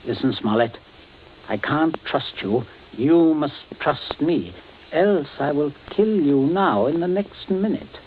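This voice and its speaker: A man's voice: on the high side, slow. An actor playing a coolly menacing middle-aged father who gives curt, icily polite commands in a vintage radio suspense play. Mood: cold menace. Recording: tinny, hissy old radio broadcast.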